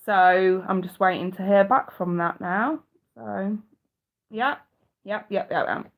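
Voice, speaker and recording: A woman's voice: medium pitch, takes it slowly. Chatty woman with a blocked nose. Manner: chatty, warm to viewers, swears casually. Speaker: live-stream host. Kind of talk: casual live-stream chat.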